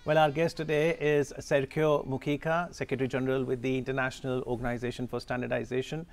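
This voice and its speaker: A man in his thirties, TV morning show host, polite, measured questions, pauses with "uh", lapel mic, studio set. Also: mid-range voice, little pitch movement. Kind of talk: studio TV interview.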